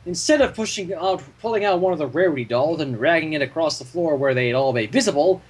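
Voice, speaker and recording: A man reading fan fiction aloud as an expressive story narrator. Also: on the high side, quick pace, slightly rough.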